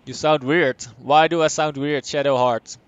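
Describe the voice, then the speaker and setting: A young man from the Netherlands with a Dutch accent, chatting casually as he streams a game, answering chat and thinking out loud. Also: on the high side, fairly even intonation, moderate pace, smooth, dry recording, dull audio. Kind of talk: live gameplay commentary, reading chat.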